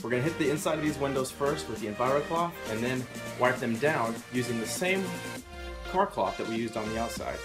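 A man's voice: mid-range voice, expressive, fairly quick. Upbeat man demonstrating in a garage. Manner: upbeat, instructional, addresses viewers directly. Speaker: product demonstrator. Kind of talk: casual product demo. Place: home garage. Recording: background music under voice. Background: background music.

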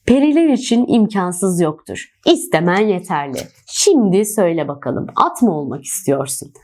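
A woman voicing a gentle, wise rose fairy in a children's tale, kindly and instructive. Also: on the low side, big pitch swings, normal speed. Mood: kindly, teasing.

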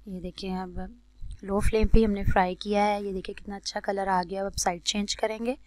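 A woman with a Pakistani accent narrates a recipe tutorial as a cooking host, in a measured, instructional way. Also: medium pitch, little pitch movement, no room sound.

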